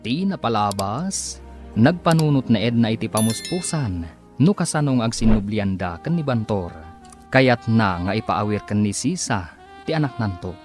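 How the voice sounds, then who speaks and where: A man's voice: fairly low pitch, animated, normal speed, darkish tone. A man with an Ilocano accent narrating a radio serial as its announcer in a dramatic, scripted style. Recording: music under the voice. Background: music.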